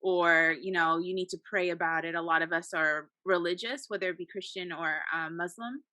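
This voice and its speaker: A woman from the United States speaking as a panel guest. Her voice is bright and clear, and she talks in an articulate, measured way. The recording is dry, with no room sound. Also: on the low side, fairly even intonation, no echo. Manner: articulate, measured, uses fillers. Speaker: guest, psychology PhD candidate. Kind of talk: casual panel conversation.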